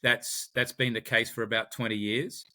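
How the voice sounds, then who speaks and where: A man's voice: mid-range voice, little pitch movement, normal speed, bright, forceful tone. An Australian man, a podcast guest, talking in a conversational interview and often repeating words as he searches for his phrasing.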